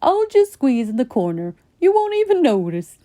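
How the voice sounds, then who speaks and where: Woman voicing an eager goofy donkey, high and lively. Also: moderate pace, dry recording. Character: scruffy, clumsy, cheerful donkey friend. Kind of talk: children's storybook character acting.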